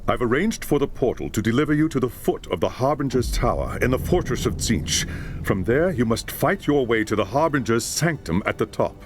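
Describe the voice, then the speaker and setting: Guy doing a solemn wizard mentor in a game cutscene, commanding and urgent, mid pitch, pretty animated. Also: a little fast, noisy background, lightly acted. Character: grave, commanding male high lore master mage. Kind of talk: dramatic video game cutscene acting.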